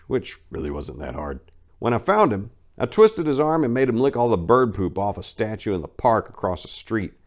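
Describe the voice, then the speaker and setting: A man giving a first-person dramatic reading of a memoir, telling it bluntly and wryly with sudden emphatic lifts in his voice. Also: medium pitch. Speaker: narrator reading a memoir aloud.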